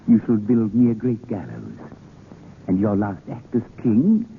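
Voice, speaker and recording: A man's voice: fairly low pitch, wildly swooping pitch, takes it slowly, gravelly, heavily acted. A mocking, imperious king on old radio. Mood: slyly amused. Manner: commanding, theatrical, muttering hums and hesitations. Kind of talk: 1940s radio acting. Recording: tinny, hissy old radio broadcast.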